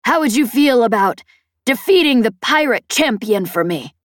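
A woman's voice: a little high, expressive, normal speed, dry recording, studio quality, voice pushed up, natural voice. A woman voicing a tough, commanding pirate boss in an audiobook, pressing and persuasive as she taunts. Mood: bargaining. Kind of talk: audiobook character dialogue.

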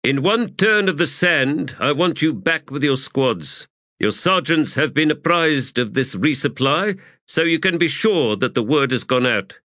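A man's voice: mid-range voice, lively intonation, normal speed, a bit bright, no room sound, clean studio sound, voice pushed up, lightly acted. A man voicing a commanding, exasperated army commander in a formal, clipped audiobook performance. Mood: loud, orderly. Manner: formal, clipped, authoritative oratory. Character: commanding, exasperated male army commander addressing his troops. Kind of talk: audiobook character acting.

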